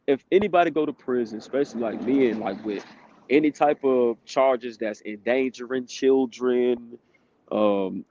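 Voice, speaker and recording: A man's voice: medium pitch, warm tone, dry recording. A man in his twenties giving candid, casual testimony as a guest, laughing between points. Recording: dry dull lo-fi sound.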